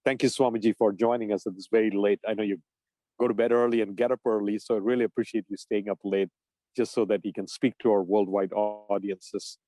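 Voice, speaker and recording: A man's voice: fairly low pitch, flat delivery, fairly quick, warm tone, no echo, rough recording. A man hosting a talk, giving a formal, appreciative introduction of a guest speaker.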